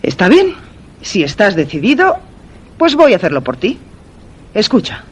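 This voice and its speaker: An actress with a Castilian Spanish accent playing a playful, evasive wife in a suspense radio drama. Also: female voice, medium pitch, huge pitch swings, moderate pace, slightly rough. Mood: coaxing.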